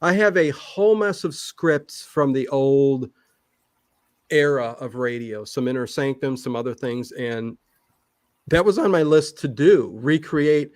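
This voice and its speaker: A man's voice: medium pitch, a little slow, a little mellow. A man chatting casually as an interview guest, rambling and often hesitating and correcting himself. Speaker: guest, online old-time radio channel host.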